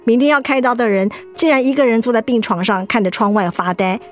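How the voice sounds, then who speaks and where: A feisty young Taiwanese woman, played by an actress in a radio drama, with a high voice over music. She scolds and nags in a blunt, exasperated way, though she is soft underneath. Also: fast, close to an everyday voice. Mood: scolding, hurt. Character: feisty, scolding, softhearted young woman, hurt best friend. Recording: music behind the voice.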